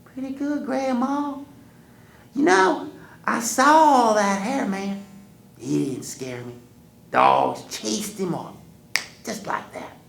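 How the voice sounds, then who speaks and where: A woman doing a high-voiced plucky country boy. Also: expressive, slow pace, vocal fry, pauses often, echoey room, a little hiss or hum, exaggerated voice. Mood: proud. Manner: high, bright boyish voice.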